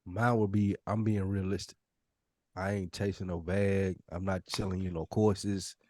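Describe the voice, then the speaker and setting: A man with a fairly low voice talks on a casual podcast panel. He sounds blunt and matter-of-fact and swears freely. The recording is very dry and close. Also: flat delivery, unhurried, darkish tone, no echo. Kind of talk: casual chat.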